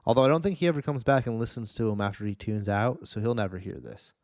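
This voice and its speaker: A man's voice: fairly low pitch, lively intonation, fast, a little mellow, no room sound, clean studio sound. A man in his thirties with a North American accent, chatting casually and enthusiastically as a podcast host. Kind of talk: casual chat.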